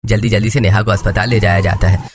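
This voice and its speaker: A man's voice: fairly low pitch, little pitch movement, fast talker. A man reading scripted narration for a moral story, with music behind him.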